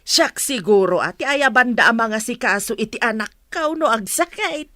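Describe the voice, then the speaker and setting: A woman's voice: on the high side, lively intonation, moderate pace, sharp tone, dry recording, clean studio sound. An actress playing a nagging, outspoken middle-aged mother in a radio drama, exclaiming, scolding and wheedling. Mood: complaining.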